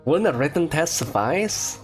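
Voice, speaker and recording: A woman's voice: low voice, expressive, a little slow. A woman giving casual gameplay commentary, reacting aloud in a wry way. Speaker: game streamer, player. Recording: faint game music behind.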